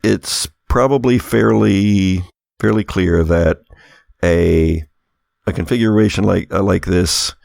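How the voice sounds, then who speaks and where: A man improvising narration as a game master at a tabletop game, phrasing things in a halting, thoughtful way. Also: deep voice, vocal fry, a little mellow, no echo, studio quality.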